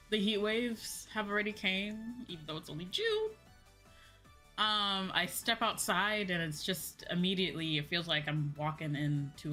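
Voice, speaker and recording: A woman's voice: lowish voice, pushed and bright. A woman hosting a livestream, chatting casually and informally, repeating herself for emphasis. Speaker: VTuber streamer hosting a chat stream. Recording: background music behind voice. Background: music.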